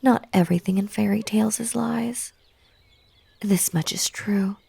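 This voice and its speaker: A woman's voice: mid-range voice, fairly even intonation, unhurried, gravelly, warm tone, breathy edge, heavily acted. A woman playing an unhinged, lovesick bunny girl in an intimate audio roleplay, coaxing and hypnotic, slipping into whispers. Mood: pleading, wounded.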